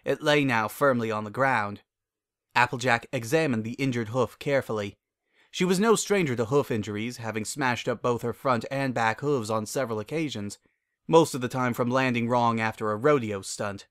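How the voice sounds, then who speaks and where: A man's voice: mid-range voice, moderate pace, a bit bright. A male narrator with a mid-range voice reads scripted fiction. The delivery is a dramatic storytelling read.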